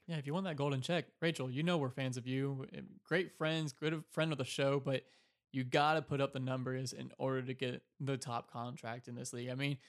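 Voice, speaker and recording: A man's voice: mid-range voice, fairly even intonation, brisk. A man co-hosting a sports talk show, giving casual analysis of players and teams. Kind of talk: sports talk commentary on a fictional league.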